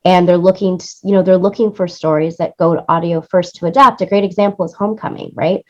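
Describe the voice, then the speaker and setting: A woman's voice: fairly low pitch, fairly even intonation, quick pace, darkish tone, highs cut off. A woman in her late thirties talking casually in an interview, emphatic and repeating her points for stress. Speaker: interview guest, music supervisor and producer.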